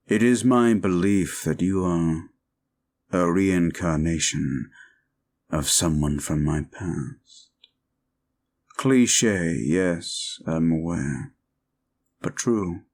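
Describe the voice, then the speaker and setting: A man playing a suave, possessive vampire in an audio roleplay, speaking formally and deliberately with a commanding manner. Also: deep voice, heavily acted. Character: suave, controlling male vampire, courtly and possessive. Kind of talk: scripted audio roleplay, slow intimate monologue.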